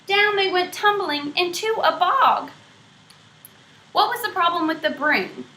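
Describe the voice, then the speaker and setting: A woman reading a picture book aloud to children in an expressive way, stopping to ask them questions. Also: very high voice. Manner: expressive, pausing to quiz young listeners. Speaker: storybook reader. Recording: roomy echo.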